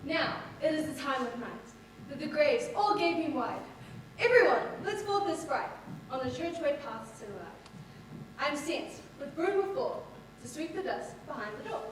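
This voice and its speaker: Impish stage sprite, high voice, lilting incantatory verse, roomy noisy hall. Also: female voice, very animated, moderate pace, recorded from a distance. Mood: eerie, incantatory. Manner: incantatory, lilting rhymed delivery. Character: mischievous fairy sprite speaking the closing verse. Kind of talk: theatrical Shakespearean verse. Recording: echoey hall from afar, noisy.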